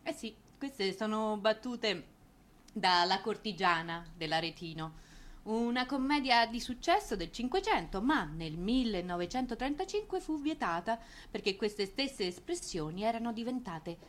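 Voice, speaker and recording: A woman's voice: on the high side, measured pace. Ironic woman narrator, theatrical delivery. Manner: ironic, expressive. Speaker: narrator and stage performer.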